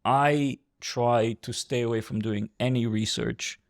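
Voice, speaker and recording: Host, man, thirties, mid-pitched and gravelly, easygoing with quick laughs, dry close sound. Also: steady tone, no room sound. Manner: friendly, laughs often, asks open questions. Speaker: podcast host. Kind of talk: casual interview chat.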